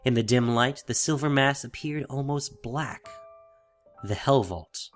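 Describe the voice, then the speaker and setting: A man narrating a fantasy audio drama in a deliberate, theatrical storytelling style. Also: medium pitch. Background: music.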